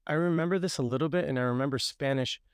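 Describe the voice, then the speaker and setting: A man's voice: a little high, fast, clean tone, dry recording. A man from Puerto Rico hosting a podcast, talking casually and thoughtfully with his sister at his parents' house, often affirming what she says. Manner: thoughtful, agreeable, frequent backchannel affirmations. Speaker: podcast host.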